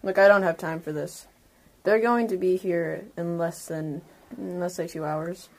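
A woman's voice: fairly low pitch, steady tone, unhurried, a little mellow. A woman playing a tabletop roleplaying game, chatting casually in and out of character, with some noise behind.

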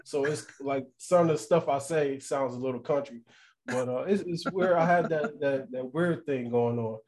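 A man's voice: medium pitch, moderate pace, a little mellow. A man with an American accent, from New Jersey and Florida, chatting casually and informally as a podcast guest. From New Jersey and Florida, United States. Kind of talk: casual chat.

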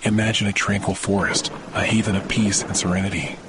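A man with a deep, low voice guides listeners through a scripted relaxation meditation. His delivery is soothing and measured, like that of a sleep podcast host. Rain on leaves and soft thunder are clearly audible behind his words. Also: flat delivery, noisy background. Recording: loud rain and thunder sound bed.

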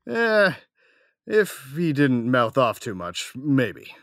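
A man's voice: mid-range voice, very animated, slow, a bit bright, no room sound, clean studio sound, exaggerated voice. A man playing a cocky, battle-weary young gunslinger, narrating and talking in a wry, casual, slangy way in an audio drama. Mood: teasing. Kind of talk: first-person audio drama narration and dialogue.

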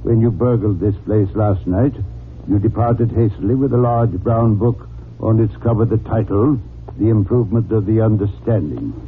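A man's voice: lowish voice, normal speed, close to an everyday voice. Guy acting as bossy genius detective, old radio mystery, formal n precise, thin tinny sound w a bit of noise. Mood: commanding, accusing. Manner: commanding, precise, formal, dismissive. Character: imperious, unflappable genius male detective. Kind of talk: 1940s radio drama acting. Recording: noisy, tinny 1940s radio recording.

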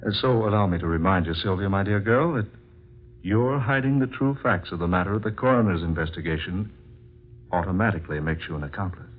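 An actor playing a smug, condescending male killer in an old-time radio drama, his husky voice cracking into frantic shouts. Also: low-pitched, a little fast. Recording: lo-fi old radio recording with music.

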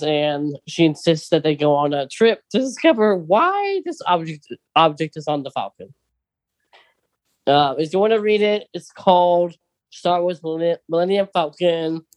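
Podcast cohost just chatting casually, mid pitch voice, really dry sound. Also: no room sound. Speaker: podcast co-host. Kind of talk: casual chat about films and books.

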